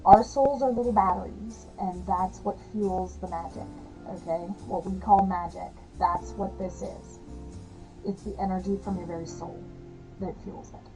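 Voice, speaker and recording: A woman talking casually to camera as a witchcraft vlogger, chatty and rambling with frequent fillers and soft laughs, her voice slightly hoarse and gravelly. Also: medium pitch, fairly even intonation, moderate pace, music in the background, low-quality recording.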